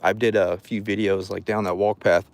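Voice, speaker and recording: A man's voice: fairly low pitch, little pitch movement, moderate pace. Street vlogger, easygoing guy on a handheld phone outside, calls everyone bro. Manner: laid-back, reassuring, calls people bro and man. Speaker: vlogger streaming live. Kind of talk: casual street chat. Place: outdoors by a river walk path.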